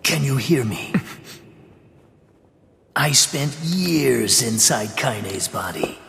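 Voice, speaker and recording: Slow, echoing sardonic demon. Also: male voice, mid-range voice, lively intonation, gravelly, a bit bright, a little hiss or hum, exaggerated voice. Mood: solemn. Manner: deliberate, mocking, colloquial. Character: sardonic male demon shade, taunting yet oddly sympathetic. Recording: long echoing reverb, music behind.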